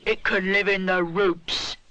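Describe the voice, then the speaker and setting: A woman's voice: mid-range voice, flat delivery, slow pace, highs cut off, background noise, close to an everyday voice. A woman voicing a grumpy old man of the wood in a children's story, curt, roaring and grunting. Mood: grudging.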